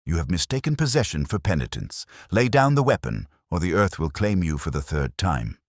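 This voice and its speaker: A man voicing a cool, precise detective in an audiobook, calm, steady and authoritative. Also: sits low, fairly quick, no echo, clean studio sound. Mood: commanding.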